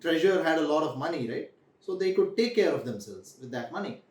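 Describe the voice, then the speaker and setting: A young man, telling a story to children in a deliberate way, repeating phrases for emphasis. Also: a little high, animated, darkish tone. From India. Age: young adult. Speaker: storyteller host. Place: small room indoors. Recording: echoey room, distant microphone.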